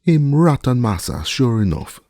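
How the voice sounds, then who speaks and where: A man's voice: deep voice, expressive, unhurried, mellow, no echo, studio quality, voice pushed up, exaggerated voice. A man voicing an older male servant who is superstitious and stubborn, in drawling dialect speech, read as an audiobook character. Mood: fearful.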